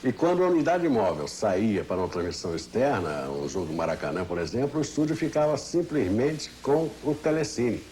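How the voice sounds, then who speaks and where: A man's voice: lowish voice, big pitch swings, fairly quick, few pauses, mellow. An older Brazilian man, a veteran engineer, giving a detailed, didactic technical explanation in an interview. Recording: faint noise, old TV broadcast.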